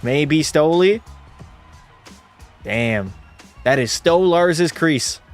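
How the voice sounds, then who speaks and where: A man's voice: a little high, very slow, soft background music. Casual man calling a video hockey game. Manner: relaxed, drops into terse hockey call mode. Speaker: streamer. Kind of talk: casual play-by-play commentary and chat reading.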